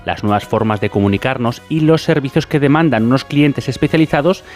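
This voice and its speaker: A man's voice: mid-range voice, a little fast, darkish tone, soft background music. A man co-hosting a radio interview in prepared broadcast style. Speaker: radio co-host.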